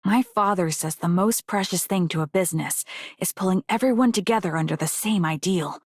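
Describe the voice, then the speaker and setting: Lowish, poised young businesswoman. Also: fairly quick, no echo, clean studio sound. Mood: earnest. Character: poised, studious young businesswoman game operator, earnest and respectful. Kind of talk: English video game character voice lines.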